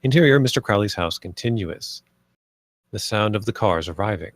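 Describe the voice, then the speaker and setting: A man's voice: on the low side, lively intonation, normal speed, dry recording. A man narrating scripted stage directions at a screenplay table read.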